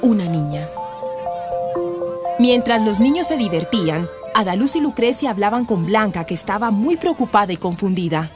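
A woman's voice: medium pitch, lively intonation, normal speed. Colombian female narrator over music. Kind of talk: scripted radio drama narration.

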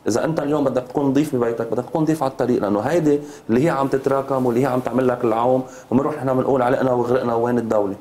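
A man's voice: medium pitch, fairly even intonation, rapid-fire, warm tone. A man in his fifties with a Lebanese Arabic accent, speaking insistently and repeating phrases as a studio interview guest. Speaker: road safety advocate, TV guest. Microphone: lapel mic.

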